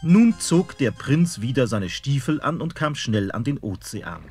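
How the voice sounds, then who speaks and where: A man narrating a fairy-tale radio play in an expressive storytelling manner. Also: medium pitch, measured pace, faint music behind.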